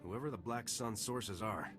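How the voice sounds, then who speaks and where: A man's voice: on the low side, moderate pace, music in the background, natural voice. An actor voicing an earnest, resolute male lieutenant in a video game, speaking in a firm, terse, soldierly way. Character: dutiful male Republic lieutenant, earnest, resolute young recruit.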